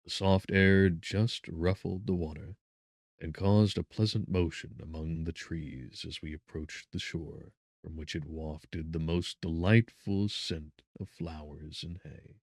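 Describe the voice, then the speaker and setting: A man with a low, deep voice reads a gothic novel as a dramatic audiobook. His delivery is measured, solemn and theatrical, recorded close and dry with very little room sound. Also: steady tone, dry recording. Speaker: audiobook narrator.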